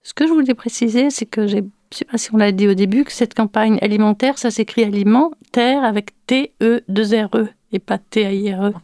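A woman's voice: mid-range voice, dark tone, no echo, studio quality. A woman speaking as a guest on a radio program, hesitating often with fillers while she explains things. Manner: hesitant, many pauses and fillers. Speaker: guest from an association. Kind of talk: radio interview.

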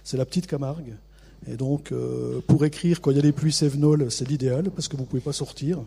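A middle-aged man from the Vosges with a local accent, an author answering interview questions in a relaxed, self-mocking way with many hesitations. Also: mid-range voice, brisk, gravelly. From Vosges, France. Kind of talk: radio interview.

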